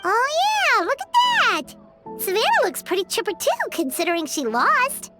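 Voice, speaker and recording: A woman's voice: very high-pitched, wildly swooping pitch, moderate pace, over loud music, heavily acted. A woman voicing a tiny chatty fairy-like companion in a video game, who speaks of herself in the third person. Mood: excited.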